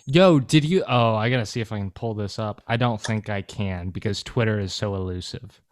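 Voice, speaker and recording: A man's voice: on the low side, normal speed, dry recording, clean studio sound. A man co-hosting a casual podcast, rambling and swearing often. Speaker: podcast co-host.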